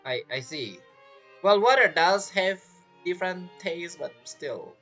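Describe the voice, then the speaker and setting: Male gaming commentator: forceful bright tone, animated intonation, casual and amused, with halting phrasing. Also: sits high. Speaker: gaming content creator playing through a story quest. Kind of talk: casual live game commentary. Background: game music.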